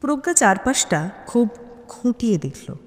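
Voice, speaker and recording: A woman narrating a scripted suspense story in an expressive, dramatic manner. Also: on the high side, normal speed. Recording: long hall-like reverb.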